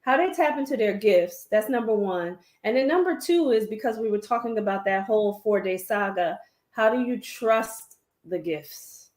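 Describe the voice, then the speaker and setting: A woman's voice: medium pitch, lively intonation, moderate pace, darkish tone, reverberant. A woman hosting a talk show, chatting casually and encouraging her guest with frequent affirming murmurs.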